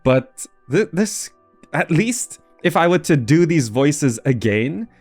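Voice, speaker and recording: A man's voice: a little high, very animated, takes it slowly, darkish tone, faint music behind. A man hosting a gaming video with casual, off-the-cuff commentary, often repeating words as he muses aloud.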